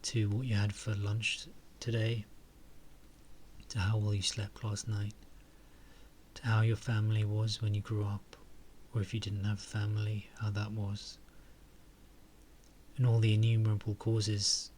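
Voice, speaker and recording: Guy doing guided meditation, lowish flat voice, soft and calm, trails off breathy, bit of background noise. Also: unhurried, long pauses. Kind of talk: guided meditation and spoken reflection.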